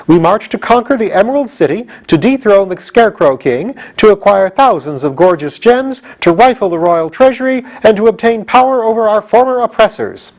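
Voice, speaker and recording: A man's voice: high voice, lively intonation, moderate pace, few pauses, pitched above the performer's own voice. A man voicing a fierce, impatient young girl general in an audiobook, with a bold, commanding delivery. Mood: rousing, declamatory. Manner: declamatory, commanding. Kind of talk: audiobook character voice.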